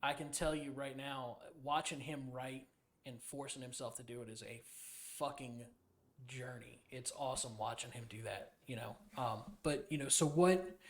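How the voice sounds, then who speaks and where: A man hosting a podcast interview in a loose, halting, informal way, with some casual swearing. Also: medium pitch. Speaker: podcast host.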